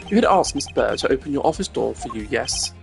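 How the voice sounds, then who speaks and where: A man voicing a proud, stern male prosecutor in a video game, speaking in formal, measured accusations. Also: medium pitch, lively intonation, creaky, soft background music. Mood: cool, composed.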